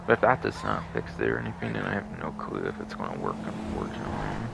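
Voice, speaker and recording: A man's voice: a bass voice, huge pitch swings, quick pace, vocal fry. A man live-streaming a game, grumbling and swearing casually, often repeating himself as he mutters along. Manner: foul-mouthed, grumbling. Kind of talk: casual live gameplay commentary. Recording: loud noise behind the voice.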